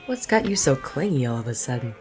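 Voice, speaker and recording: An actor playing a gruff, wry, smitten male private detective in a noir audio drama, with teasing, plain-spoken tough-guy phrasing. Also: medium pitch, sing-song, a little fast, darkish tone, soft background music, close to an everyday voice. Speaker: narrator and lead character. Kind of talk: noir audio drama, first-person narration and banter.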